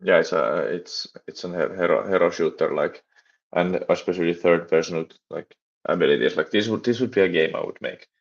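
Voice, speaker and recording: A young man from Finland with a Finnish accent, chatting on a live stream and talking haltingly with many restarts and fillers. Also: low-pitched, creaky, dull audio. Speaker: livestreaming startup developer. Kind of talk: casual live stream chat.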